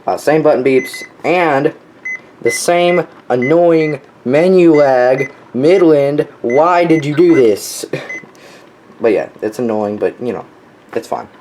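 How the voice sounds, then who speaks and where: A man's voice: on the high side, takes it slowly, darkish tone. A young man giving a casual, unscripted show-and-tell in an offhand, enthusiastic hobbyist way. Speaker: weather radio collector showing their collection. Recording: clearly noisy home recording.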